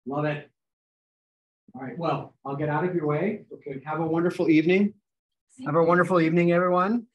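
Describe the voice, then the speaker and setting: A man chatting briskly and sociably with a group on a video call before excusing himself. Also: on the high side, fairly even intonation, echoey room, highs cut off. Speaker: scientist leaving a group call. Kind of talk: casual chat.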